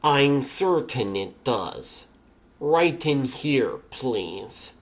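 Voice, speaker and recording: A man's voice: mid-range voice, expressive, extremely slow. A man voicing a cool, clinical female scientist in a comic dub, precise, polite and detached. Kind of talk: comic dub character acting.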